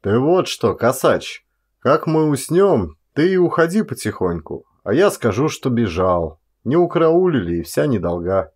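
A man's voice: medium pitch, very animated, measured pace, darkish tone, slightly rough. A man voicing a reluctant, kind-hearted rustic forest watchman who grumbles in a folksy drawl in an audiobook story. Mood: musing aloud.

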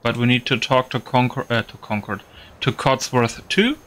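A man giving casual gameplay commentary, thinking aloud in a halting, repetitive way. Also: mid-range voice, a bit bright. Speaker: gaming video host.

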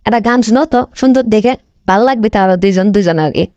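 A woman's voice: mid-range voice, quick pace, warm tone, dry recording, lo-fi sound, natural voice. A woman voicing a pushy, lovestruck young village girlfriend in a comedy cartoon, insistent and coaxing, with a North Bengal accent. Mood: reassuring, coaxing. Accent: North Bengal Bangladeshi.

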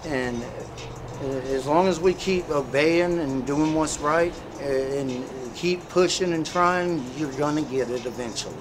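A man with a slightly high voice talks earnestly and a little preachily, telling his story and leaning on "you know" again and again. Traffic and street noise run clearly under the recording. It sounds like a street interview recorded outdoors. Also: a little slow.

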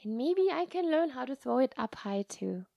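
A woman's voice: high-pitched, sing-song, normal speed, gravelly, dark tone, no room sound, clean studio sound, pitched above the performer's own voice, exaggerated voice. A woman voicing a sulky, earnest little girl in a storybook reading, pouting and petulant. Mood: apologetic. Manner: petulant, childlike phrasing.

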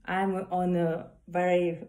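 A woman with a strong Japanese accent speaks in a low, unhurried voice, reading affirmations to her phone. She talks earnestly, stops to correct herself, and takes her time over each word. She is recorded up close in the corner of a small room. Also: little pitch movement, warm tone. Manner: slow, earnest, halting English with self-corrections. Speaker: saxophonist vlogger. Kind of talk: reading love affirmations to camera. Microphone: phone camera at arm's length.

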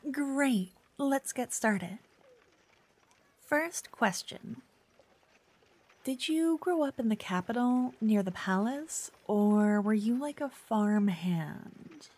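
Woman acting a soft-voiced, pushy, obsessive fangirl. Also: fairly high pitch, animated, drawn-out words, pauses often, full character voice. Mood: insistent, teasing. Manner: chatty, coy, insistent, slipping into whispers. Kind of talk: ASMR roleplay.

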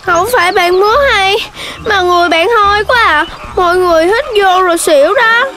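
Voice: very high-pitched, brisk, background noise. An actor voicing an eager, childlike young animal friend in a children's cartoon, with a chirpy, exclamatory way of talking. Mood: frank. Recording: music behind the voice.

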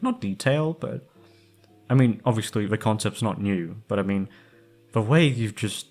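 Male online creator, fairly low pitch, loose self-deprecating chat with casual swearing. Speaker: ASMR roleplay creator. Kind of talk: casual rambling chat to listeners. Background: background music.

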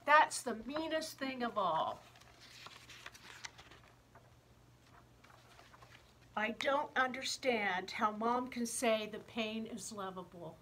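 A woman's voice: on the high side, a little slow, fairly bright tone, slight background noise, poor audio. A woman reading a picture book as a jealous, indignant eight-year-old, with whiny, emphatic complaints. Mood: sulky, resentful. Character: jealous, indignant eight-year-old third grader griping about younger brother.

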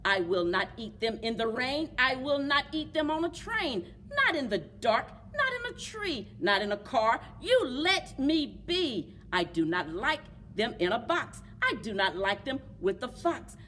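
Grumpy rhyming refuser read by a woman: emphatic, slow, swooping pitch, clear room noise. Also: big pitch swings, fairly bright tone. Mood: grumpy refusing. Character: stubborn, grumpy creature refusing food. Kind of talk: rhyming picture-book read-aloud. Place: public library children's book corner.

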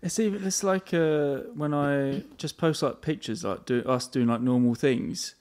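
A man's voice: a little high, measured pace, darkish tone. A young man from England, co-hosting a casual podcast in an easygoing way, guessing and laughing along. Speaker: podcast co-host. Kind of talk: casual chat.

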